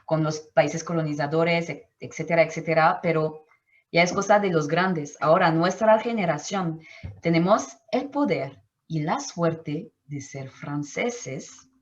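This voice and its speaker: A woman's voice: low-pitched, unhurried. Martinican woman, French native, interview guest, reflective, searching for words, dull lo-fi sound. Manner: thoughtful, searching for words, frequent restarts. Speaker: anthropologist guest.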